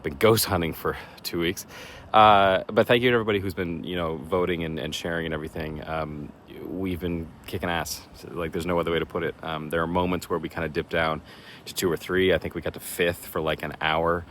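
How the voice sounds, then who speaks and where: A man vlogging casually to camera outdoors, chatty and self-deprecating, with many fillers and a slightly raspy voice. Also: sits low, fairly even intonation, a little fast, a bit bright. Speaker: horror contest vlogger. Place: outdoors in woods. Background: faint leaf blower and vehicle noise.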